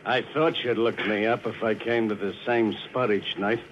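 A man's voice: fairly low pitch, steady tone, normal speed, slight background noise, natural voice. An actor playing a mocking male chief mate on a ship in 1940s radio drama style. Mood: sly. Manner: taunting, then gasping. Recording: muffled old radio broadcast with music.

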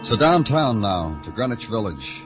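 A terse noir detective. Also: male voice, on the low side, big pitch swings, unhurried, loud music behind the voice. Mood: brooding. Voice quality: clipped, terse, brooding. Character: hard-boiled male police detective narrator. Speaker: detective and narrator. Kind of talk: 1950s radio noir narration and acting.

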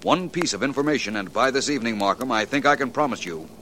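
A man playing a suave, self-assured gentleman detective in a 1940s radio drama, urbane and dry. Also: mid-range voice, animated, fast, poor audio. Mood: calm. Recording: old radio recording with faint hiss.

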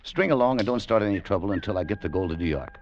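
Deep-voiced hard-boiled radio adventurer. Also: male voice, lively intonation, fast, slight background noise. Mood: wary, coaxing. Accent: American. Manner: clipped, tough, colloquial first-person narration. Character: hard-boiled, wary adventurer hunting gold. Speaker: radio actor, lead and narrator. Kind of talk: 1940s-50s adventure radio drama acting. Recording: muffled vintage radio recording, music underneath.

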